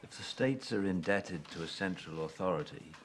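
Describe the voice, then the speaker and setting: Period film casting: a genteel, doubting revolutionary statesman, low voice, careful formal delivery, slightly noisy soundtrack. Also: expressive. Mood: troubled, wary. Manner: measured, formal, faintly hesitant and wry. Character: courtly, skeptical Virginian statesman of revolutionary-era America. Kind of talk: period film drama dialogue.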